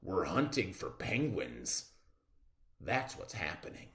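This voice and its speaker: A reader voicing rough, greedy hunters in a growly, chanting, menacing way. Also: sits low, sing-song, takes it slowly, a bit bright, reverberant, full character voice. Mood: gruff.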